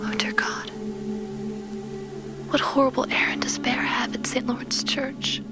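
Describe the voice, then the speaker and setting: A woman's voice: a little high, steady tone, measured pace, close to an everyday voice. Woman playing a scared, whispery young woman. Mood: dread. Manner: breathy, fearful, pleading. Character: frightened, anxious young woman, pleading. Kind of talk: audio drama acting. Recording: loud dramatic music under the voice.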